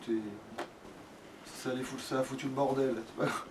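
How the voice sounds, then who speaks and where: A man's voice: medium pitch, level tone, fairly quick. A man doing casual gaming commentary with a friend, grumbling and swearing as he plays. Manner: foul-mouthed, grumbling. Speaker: let's player playing the game. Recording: distant mic, loud background noise.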